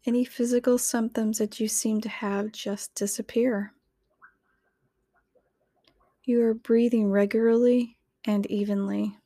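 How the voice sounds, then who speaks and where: A woman reading a scripted guided meditation in a soothing, gentle, measured manner. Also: mid-range voice, gravelly, no room sound, studio quality.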